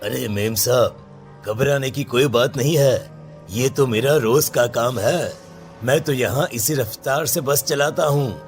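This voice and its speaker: A man's voice: medium pitch, measured pace, faint music behind, lightly acted. A man voicing a brazen, villainous bus crewman in a horror cartoon, with a casual manner. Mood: dismissive, reassuring.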